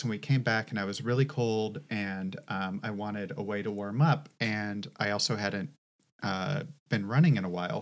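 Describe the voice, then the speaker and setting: A middle-aged woman co-hosting a podcast, telling a rambling, casually profane story with many fillers. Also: very deep voice. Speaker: podcast co-host.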